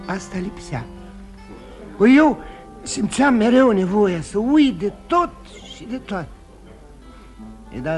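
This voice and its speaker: An actor in a radio play voicing a ruined drinking man who confesses his failed life with self-loathing, halting and repeating himself. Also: high-pitched. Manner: rambling confession, halting with emotional repetitions. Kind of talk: theatrical radio drama acting. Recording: radio play with guitar music behind.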